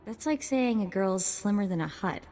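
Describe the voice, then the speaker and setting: A woman's voice: medium pitch, animated, moderate pace, warm tone, long reverb tail, lightly acted. A woman playing a streetwise, sarcastic young sidekick with a wry, casual manner in a video game.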